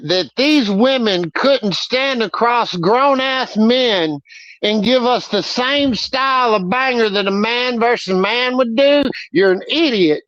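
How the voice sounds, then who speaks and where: Cocky, cursing male co-host with a high voice on a dull recording. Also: a bit bright, no room sound. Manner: brash, profane, boastful. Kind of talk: casual podcast banter.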